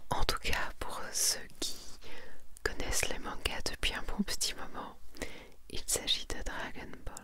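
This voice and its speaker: A woman speaks in a breathy, unvoiced whisper for ASMR. She is intimate and unhurried, shifting between reading aloud and chatty commentary. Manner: soft-spoken, intimate, unhurried. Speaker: ASMR host presenting a manga collection.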